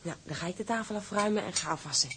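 Low, husky, tender wife. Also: female voice, fast talker, fairly bright tone. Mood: caring. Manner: tender, domestic. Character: gentle, devoted young pregnant seaman's wife. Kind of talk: radio drama acting.

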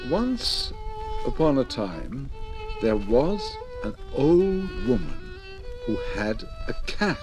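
A man speaking as an interviewed storyteller in short, deliberate, scripted phrases. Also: medium pitch, wildly swooping pitch, music in the background, rough recording. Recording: faint noise on old flexi-disc.

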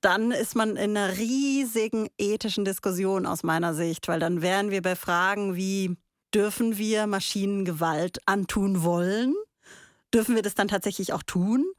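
A woman's voice: mid-range voice, moderate pace, no echo, studio quality. A woman hosting a casual analytical discussion, summing up and probing with questions. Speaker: discussion host.

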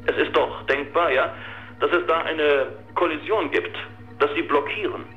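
An actor playing a cautious scientific expert who objects in an emphatic, didactic manner. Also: male voice, on the high side, steady tone, normal speed, narrow, tinny audio, lightly acted. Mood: firm objection. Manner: emphatic, didactic, tagging phrases with "ja". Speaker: expert commentator.

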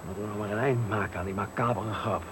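A man's voice: lowish voice, fairly even intonation, fast, lightly acted. An actor playing a frightened, indignant man under threat, nervous and protesting, in radio thriller acting. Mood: shaken, indignant. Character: frightened, indignant man held at bluffed gunpoint. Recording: noisy 1980 radio play recording.

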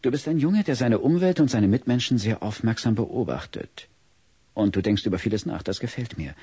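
Kindly, patient psychologist for children's radio play: husky, expressive, close dry sound. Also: male voice, medium pitch, a little fast, dry recording. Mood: gently probing. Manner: gently probing, explanatory. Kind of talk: audio-drama acting.

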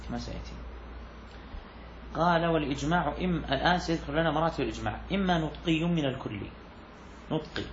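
A man's voice: fairly high pitch, vocal fry. Guy teaching a fiqh text to students, keeps repeating himself and asking the room, dull muffled audio with a bit of hiss. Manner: repeats key phrases, poses questions to students. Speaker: sheikh teaching Islamic jurisprudence. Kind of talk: classroom lecture explaining a text.

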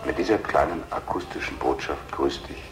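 An actor playing a menacing, cryptic man who leaves solemn, measured recorded messages in a radio drama, with a gravelly voice. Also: extremely low pitch. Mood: ominous. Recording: roomy tape playback with loud music.

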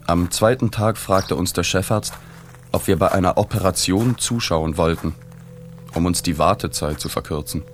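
Deep-voiced, sober journalist narrator. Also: male voice. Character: sober, observant male journalist recounting a reportage. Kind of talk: literary radio drama narration.

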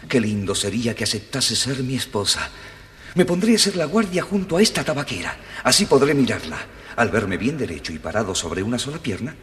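An actor playing an earnest, dutiful tin soldier toy on a children's storybook record, exclamatory in manner. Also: male voice, medium pitch, close to an everyday voice. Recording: long reverb on old storybook record.

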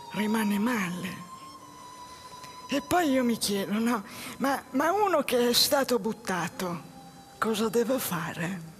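A woman playing a bewildered man who lost his job, in a halting theatrical monologue. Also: mid-range voice. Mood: hurt, bewildered. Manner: halting, repeats phrases. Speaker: stage actor. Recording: music behind the voice.

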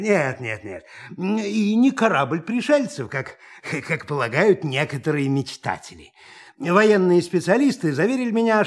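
Casting: pompous middle-aged TV pundit and bickering father, high voice, very lively delivery, radio play. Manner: pompous, stammering repetitions when arguing. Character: vain, argumentative TV news commentator and father. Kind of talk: Russian audio play acting.

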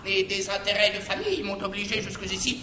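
A man's voice: high-pitched, a little fast, pushed and bright, slight background noise. An actor playing an indignant, blustering old miserly father in a classical French stage comedy, with exclamatory declamation. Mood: lamenting. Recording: roomy 1960s theatre stage recording.

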